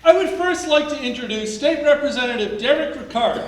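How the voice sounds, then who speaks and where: A man's voice: extremely high pitch, animated, fairly quick. A man playing an upbeat corporate leader giving a formal presentation in a staged reading. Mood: proud, welcoming. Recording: echoey hall, distant mic, faint noise.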